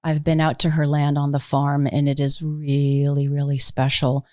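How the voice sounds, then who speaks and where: A woman's voice: low voice, monotone, normal speed, darkish tone, dry recording, clean studio sound. A woman hosting a conversational interview, effusive and leaning on words for emphasis. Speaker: podcast host, interviewer.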